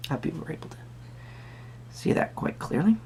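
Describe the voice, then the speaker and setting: Gravelly, hesitant, musing tarot reader. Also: mid-range voice, animated, moderate pace, darkish tone, a little hiss or hum. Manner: hesitant, musing, wordy formal phrasing. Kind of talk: soft-spoken roleplay tarot reading addressed to the viewer.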